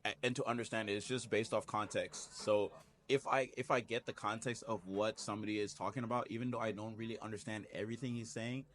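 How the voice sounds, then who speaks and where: A man's voice: lowish voice, steady tone, fast talker, fairly bright tone. A man speaking as a guest in a casual chat, easygoing but emphatic about his views. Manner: easygoing, emphatic, frequent "you know". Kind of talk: casual conversation.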